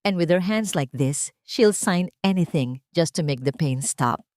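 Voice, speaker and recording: A woman's voice: low-pitched, animated, measured pace, a little mellow, dry recording. A woman narrator acts out a villainous aunt from an audio drama, greedy and cruel. She gloats and taunts in a silky, seductive way, swapping between two languages, in a very dry recording. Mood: sweet, scheming. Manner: gloating, switching between Tagalog and English.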